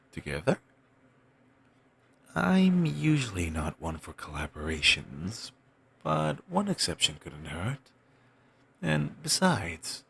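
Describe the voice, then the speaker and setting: A man playing a wistful, self-doubting wandering bard in an intimate audio roleplay, musing in courteous, formal phrases with thoughtful pauses and a gravelly, husky voice. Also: deep voice, fairly bright tone, heavily acted. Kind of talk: intimate one-sided audio roleplay acting.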